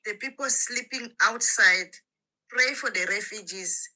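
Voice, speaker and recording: Bright-voiced woman caller pleading. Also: mid-range voice, poor audio. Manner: pleading, halting, broken English. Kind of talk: call-in comment on a live stream.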